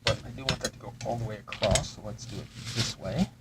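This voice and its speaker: A man's voice: medium pitch, quick pace, heavy background noise. A man hosting a terrain-crafting channel, chatting casually and muttering to himself as he builds. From Texas. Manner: rambling, mutters to himself while working. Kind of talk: casual chat while building miniatures.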